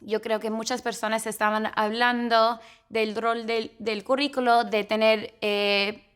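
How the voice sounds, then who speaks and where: A woman's voice: a little high, flat delivery, measured pace, fairly bright tone, clear, resonant tone. A young adult woman, a doctoral student, speaking earnestly in an academic conversation with fillers and small self-corrections.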